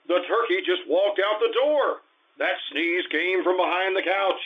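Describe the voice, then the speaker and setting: Man playing a pompous, blustery older man, higher-pitched. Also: measured pace, husky, narrow, tinny audio. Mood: alarmed, indignant. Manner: pompous, sputtering. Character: blustery, irritable older male school principal, easily flustered. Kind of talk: old-time radio comedy acting.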